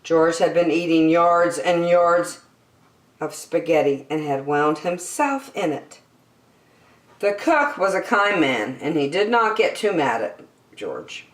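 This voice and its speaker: Lady doing kids story time, really up and down melodic delivery, echoey room. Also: low voice. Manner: simple, deliberate, expressive phrasing for young listeners. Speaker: children's story-time host. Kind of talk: reading a children's picture book aloud. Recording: echoey room, distant microphone.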